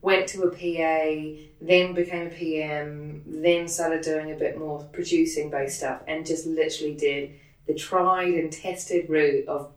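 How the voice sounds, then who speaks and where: A low-pitched woman with a New Zealand accent chats as an interview guest, quick and animated, with plenty of "like". She sits a little back from the mic, so her voice carries a roomy echo. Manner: quick, animated, peppered with "like" and laughs. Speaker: interview guest, production head. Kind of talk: casual interview chat. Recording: roomy sound, distant from the mic.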